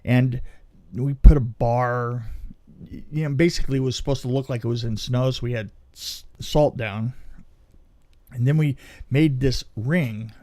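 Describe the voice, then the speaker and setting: A man telling a story casually on a podcast, in a halting, rambling way that often restarts mid-sentence. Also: medium pitch, steady tone, mellow, no room sound. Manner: halting, rambling, self-interrupting delivery. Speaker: film special effects technician telling a story.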